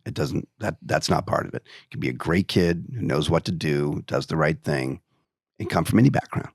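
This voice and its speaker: A man from Maine with a very low, gravelly voice, talking on a podcast. He muses aloud and puts on lively quoted questions as he makes his points. The sound is close and dry. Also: a little fast, no room sound. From Maine, USA. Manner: reflective, speaks in quoted questions with big pitch jumps. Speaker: podcast guest. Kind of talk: casual podcast chat. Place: podcast studio.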